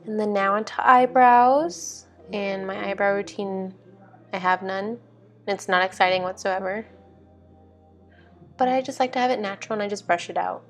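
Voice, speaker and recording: A woman's voice: medium pitch, moderate pace, long pauses. Casual young woman vlogger, music behind. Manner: informal, chatty, frequent "like". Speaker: vlog host. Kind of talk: casual get-ready-with-me makeup narration.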